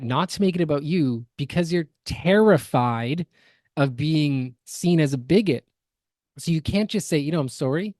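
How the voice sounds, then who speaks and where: A woman's voice: very deep voice, darkish tone, dry recording, clean studio sound. A woman co-hosting a podcast, giving casual reaction commentary in an analytical, critical, explanatory manner. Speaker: podcast co-host.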